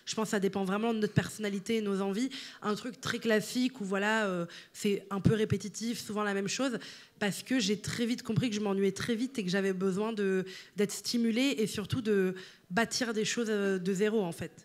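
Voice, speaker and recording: A woman's voice: mid-range voice, steady tone, brisk. A woman who grew up in Italy, Italian as her first language, talking at length as an interview guest with frequent fillers. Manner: fluent and expansive, many fillers. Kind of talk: interview conversation.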